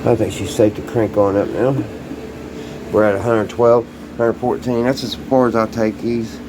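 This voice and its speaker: A man's voice: fairly low pitch, little pitch movement, moderate pace. A man giving a casual hands-on demonstration to camera as a hobbyist, talking in an informal, folksy way and thinking aloud as he works. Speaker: hobbyist showing restored vintage radios. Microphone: handheld camera, sometimes set down farther away. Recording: loud background hum and ambient noise.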